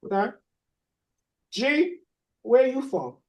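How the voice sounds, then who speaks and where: A man's voice: extremely high pitch, big pitch swings, darkish tone. A man from hosting a podcast, opening with a rhymed spoken-word intro and then questioning his guests. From Boston. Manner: slow, rhythmic spoken-word delivery. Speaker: podcast host.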